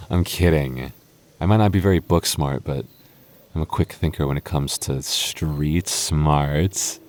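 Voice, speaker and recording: A man playing a young goth boyfriend, protective, playful and easily flustered, in an intimate ASMR roleplay with halting, earnest delivery. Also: rumbling low, a little mellow. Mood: playful, teasing.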